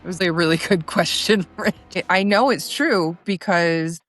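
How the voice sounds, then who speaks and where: A woman hosting a relaxed, conversational interview as she talks with her guest. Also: fairly low pitch, a little slow, faint music behind. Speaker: interview host.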